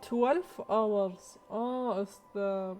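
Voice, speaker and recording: Slow, mellow-voiced woman livestreamer. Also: medium pitch, fairly even intonation, faint music behind. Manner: musing, echoes phrases from the game. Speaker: game livestreamer. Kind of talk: casual livestream reactions while playing.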